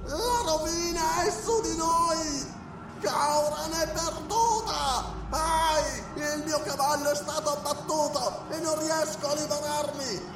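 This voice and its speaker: A man's voice: very high-pitched, normal speed, voice pushed up. A man voicing a wounded, panicked male priest crying out through a crystal orb, in a frantic wailing manner. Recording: echoey and distant, loud music behind.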